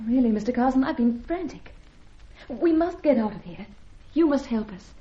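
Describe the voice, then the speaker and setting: A woman's voice: fairly high pitch, lively intonation, normal speed, close to an everyday voice. An actress playing an earnest, anxious young English woman in an old-time radio drama, with precise melodramatic phrasing. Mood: anxious, pleading. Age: young adult. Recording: dull vintage radio recording.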